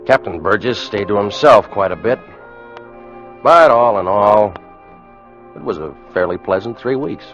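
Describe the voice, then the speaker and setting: A man's voice: on the low side, lively intonation, measured pace, long pauses, fairly bright tone. An actor playing a plain-spoken, uneasy ship's officer who tells his tale in an old-time radio drama. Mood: brooding narration. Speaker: narrator and lead character. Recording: muffled vintage radio broadcast, music.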